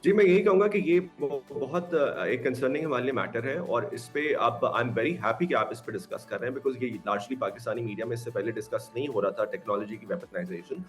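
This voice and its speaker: A man's voice: mid-range voice, a little fast, hardly any pauses. A Pakistani-accented man talks as an interview guest in a mid-range voice. He explains his points earnestly, stressing key words and slipping into English, with faint music behind him. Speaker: expert guest. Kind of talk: interview commentary mixing Urdu and English. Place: remote video call.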